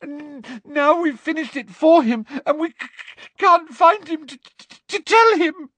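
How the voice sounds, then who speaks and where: Woman doing a crying teenage girl, stammering, very high and shrill, dry close sound. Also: animated, unhurried, fairly bright tone, no room sound. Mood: tearful stammer. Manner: stammering, tearful. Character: sobbing teenage schoolgirl, frightened and stammering.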